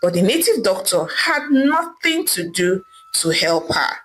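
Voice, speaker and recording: A woman's voice: mid-range voice, big pitch swings, a little slow, fairly bright tone, faint music behind, rough recording. A woman storyteller narrating a folktale dramatically, repeating words for emphasis.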